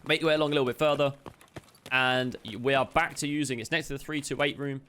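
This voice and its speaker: A man's voice: medium pitch, steady tone, a bit bright, clear, resonant tone. A man casually narrating a video game loot walkthrough, chatty and upbeat, a little chesty with the odd cough. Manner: chatty, upbeat, quick asides to viewers. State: slightly chesty, occasional cough. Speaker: game streamer giving a loot guide.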